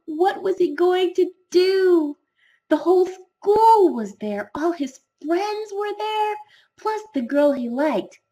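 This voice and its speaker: Very animated, high-voiced woman storyteller. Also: poor audio. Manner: dramatic, drawn-out emphasis for young listeners. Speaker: storyteller reading a children's book. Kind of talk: expressive scripted fairy tale narration.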